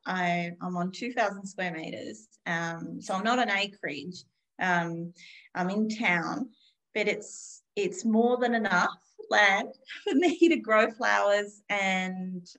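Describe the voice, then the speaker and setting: A woman's voice: medium pitch, slow pace, fairly bright tone, poor audio. A woman in her fifties talking thoughtfully and unhurriedly in a casual conversation, with frequent restarts. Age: 50s. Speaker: guest.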